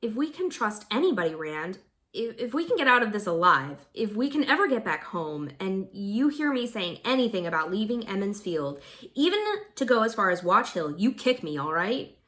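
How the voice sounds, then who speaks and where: A woman's voice: mid-range voice, big pitch swings, moderate pace, fairly bright tone. A woman voicing a glum, anxious young village man in a fantasy story, earnest and weary in manner.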